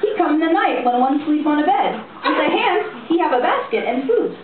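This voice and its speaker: A woman's voice: sits high, lively intonation, far from the mic, voice pushed up. Woman doing a struggling foreign classmate, earnest broken sentences, tinny noisy recording of a story reading. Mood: earnest, groping. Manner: halting, earnest broken grammar. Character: earnest foreign language students fumbling broken phrases. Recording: tinny, echoey, noisy room recording from afar.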